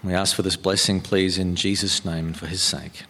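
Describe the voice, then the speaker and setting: A man from New Zealand with a New Zealand accent, a pastor giving a sermon in a halting, conversational way with many ums and restarts. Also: low-pitched, level tone, a bit bright. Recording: long hall reverb.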